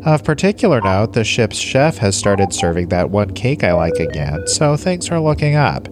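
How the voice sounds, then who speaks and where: A man's voice: on the low side, big pitch swings, moderate pace, music in the background. A man playing a lazy older alien starship commander, pompous yet casually indifferent, in a comic sci-fi audio play. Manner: pompous log-keeping sliding into casual indifference.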